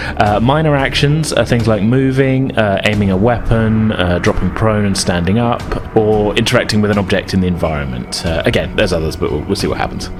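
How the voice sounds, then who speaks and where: A man's voice: fairly low pitch, brisk, noisy background. A man acting as game master, explaining and narrating a tabletop game in a casual, hesitant way.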